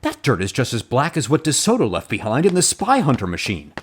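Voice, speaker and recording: An actor playing a goofy, excitable, theatrical spy sidekick in a comic audio drama. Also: mid-range voice, fairly quick, a little hiss or hum. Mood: excitable, alarmed. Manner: theatrical, swooping.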